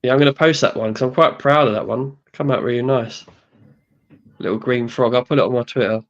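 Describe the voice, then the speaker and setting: A man's voice: medium pitch, fairly quick, highs cut off. An English man in his late thirties, chatting as co-host in an easygoing, chatty way. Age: 30s. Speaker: podcast co-host. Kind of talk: casual chat.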